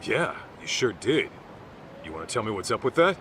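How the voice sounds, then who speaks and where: A man's voice: fairly low pitch, sing-song, moderate pace, noisy background. An actor playing a streetwise middle-aged man with an American accent in a game dub, blunt, slangy and sardonic. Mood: wry, concerned. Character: gruff streetwise middle-aged man, brash protective mentor. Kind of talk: video game dub acting.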